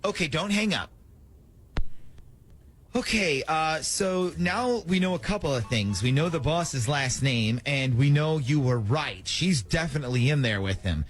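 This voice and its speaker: Male morning radio host, lively and slightly high, conversational prank-call banter. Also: a little slow.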